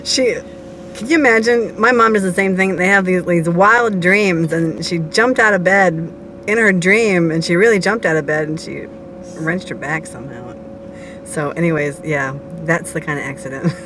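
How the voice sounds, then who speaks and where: A woman's voice: lowish voice, normal speed, fairly bright tone. A middle-aged woman chatting casually to her children, relatives and the camera as a family vlogger. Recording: noisy handheld home-video recording.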